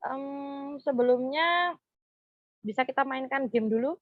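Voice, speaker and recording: A woman, leading an informal group session in a halting, hesitant way. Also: high voice, no echo. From Purworejo, Central Java, Indonesia. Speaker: group counseling facilitator. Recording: dull, muffled lo-fi sound.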